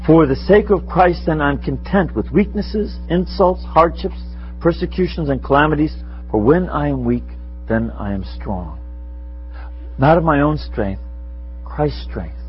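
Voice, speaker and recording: Lively-pitched man giving a rambling talk. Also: measured pace, lo-fi sound, faint music behind. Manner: conversational, rambling, self-deprecating, chuckles often. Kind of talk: informal spiritual teaching with audience questions. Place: meeting room with a live audience.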